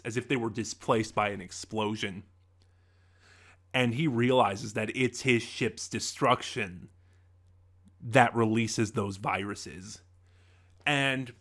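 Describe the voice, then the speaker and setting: A man's voice: medium pitch, pushed and bright. A young man giving a casual spoken review of an audio drama, talking conversationally with fillers and restarts.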